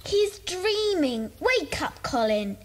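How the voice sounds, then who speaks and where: Blunt British schoolboy, high voice, slowish delivery, children's audio drama. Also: female voice, sing-song, a little hiss or hum, heavily acted. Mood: grumbling.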